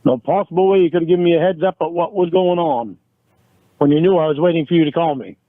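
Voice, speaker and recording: A man's voice: fairly high pitch, quick pace, dry recording. A man arguing angrily over a phone call, swearing and pleading, recorded on a phone line. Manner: angry, pleading, swears a lot. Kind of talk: heated phone argument. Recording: tinny phone line, dry.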